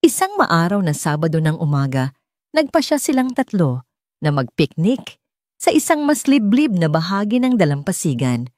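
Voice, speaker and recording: A woman's voice: lowish voice, big pitch swings, normal speed, a little mellow, dry recording. A woman with a Filipino accent reading a scripted romance story as an audiobook narrator.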